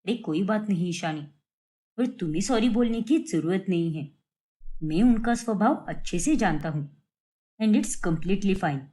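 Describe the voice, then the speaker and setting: A woman's voice: mid-range voice, lively intonation, a little slow, dark tone, voice pushed down, lightly acted. A woman voicing a polite, understanding young husband and son-in-law in a cartoon story. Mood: reassuring.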